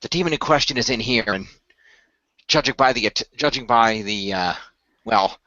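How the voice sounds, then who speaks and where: Man playing a brisk team leader, bright voice. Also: medium pitch, no room sound, muffled recording, lightly acted. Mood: explaining. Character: Noah, brisk composed male leader of a hero team. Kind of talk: amateur radio play acting.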